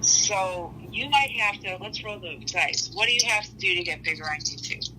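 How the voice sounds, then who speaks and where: A woman's voice: fairly low pitch, expressive, normal speed, background noise. A woman giving a casual astrology reading in a blunt, matter-of-fact way.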